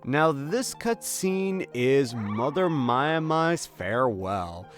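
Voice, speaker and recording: A man's voice: mid-range voice, big pitch swings, slow pace, soft background music. A man hosting a game walkthrough with casual, conversational commentary and joking asides. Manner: jokey, conversational, slips into mock dialogue. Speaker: gaming video host.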